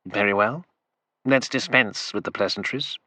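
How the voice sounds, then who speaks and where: A man voicing a smooth, ironic male imperial agent in an audiobook, speaking flatly with dry wit. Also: on the low side, normal speed, no echo. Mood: wry.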